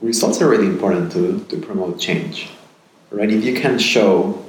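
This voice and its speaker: A man's voice: on the low side, moderate pace. A man from Peru, in his thirties, answering interview questions thoughtfully, often repeating words and ending sentences with "right?". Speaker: podcast guest. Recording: very echoey room, faint ambient noise.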